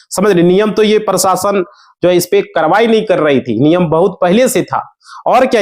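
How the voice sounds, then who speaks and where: A man with a Bihari accent giving an informal explanatory talk to viewers, conversational and often checking with tag questions that listeners follow. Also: sits high. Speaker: online explainer of land law for villagers.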